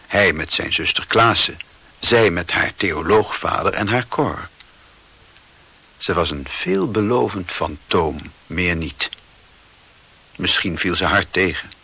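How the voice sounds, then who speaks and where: Male radio drama narrator, low and husky, measured literary delivery with dramatic weight. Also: animated, bright, forceful tone.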